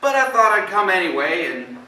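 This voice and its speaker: Man playing folksy farmer, swooping pitch, echoey stage. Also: big pitch swings, bright, forceful tone, heavily acted. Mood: earnest, rambling. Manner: folksy, halting storytelling with dramatized quotes. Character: plain-spoken neighboring farmer recounting a shocking visit. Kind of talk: amateur stage play acting. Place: theatre stage, indoors. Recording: echoey stage from far off, faint noise.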